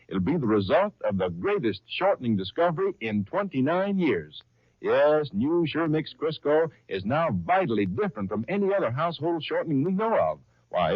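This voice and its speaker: A man's voice: medium pitch, husky, dry recording. A man working as a radio announcer and narrator in 1930s style, reading from a script with theatrical emphasis. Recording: dull, lo-fi 1930s radio recording.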